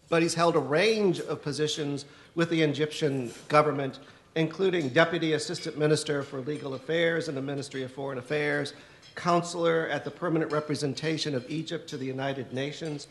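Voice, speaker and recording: A man's voice: a little high, slight background noise. A man moderating a university panel, reading guest bios with some stumbles and posing questions. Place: university lecture hall.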